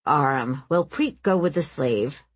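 Lady narrator doing a deep young noble guy, commanding, formal, measured, storybook style. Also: animated, breathy edge, dry recording, heavily acted. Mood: politely firm. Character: imperious young nobleman, smooth and controlling.

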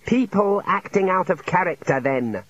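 An anxious young male student wizard, acted out by a man narrating a storybook, pitched up and strained. He is earnest, exasperated and insistent, on a dull recording. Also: expressive, brisk, slightly rough, dry recording. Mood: tense, snappish.

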